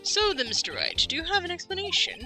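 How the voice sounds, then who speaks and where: A woman's voice: very high voice, huge pitch swings, fast, over music, pitched above the performer's own voice, full character voice. A woman voicing a stern elderly male judge who calls the court to order in a fan voice-acting stream.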